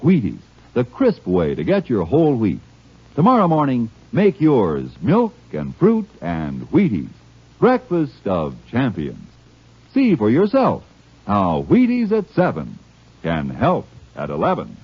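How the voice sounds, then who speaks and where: A man's voice: mid-range voice, wildly swooping pitch, a little slow, slightly rough. A man announcing a 1950s radio commercial with an emphatic, salesy delivery. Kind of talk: 1950s radio advertising pitch. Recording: dull lo-fi vintage radio recording.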